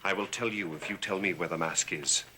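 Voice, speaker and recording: An actor playing a grave, insistent husband in a gothic television soap, with a solemn, pressing delivery. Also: male voice, low voice, brisk, sharp tone, slight background noise. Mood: stern, pressing. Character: grave, insistent husband probing a supernatural mystery.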